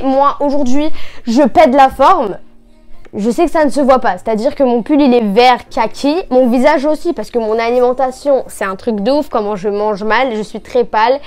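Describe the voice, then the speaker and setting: Young woman, bilingual, slightly high voice, joking casual vlog chat, soft music under her. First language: bilingual French and Spanish. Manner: playful, joking, self-mocking. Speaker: vlogger.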